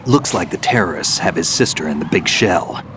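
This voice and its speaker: Very deep, gruff veteran soldier. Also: male voice, lively intonation, moderate pace. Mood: resolute. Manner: terse, earnest. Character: world-weary, gruff veteran male soldier, idealistic loner. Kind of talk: dramatic video game acting. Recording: clear background noise.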